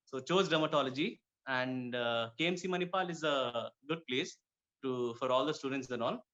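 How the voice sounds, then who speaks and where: A man's voice: medium pitch, normal speed, fairly bright tone. A man from Kuwait speaking conversationally as a dermatologist guest in an interview. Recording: dull lo-fi audio.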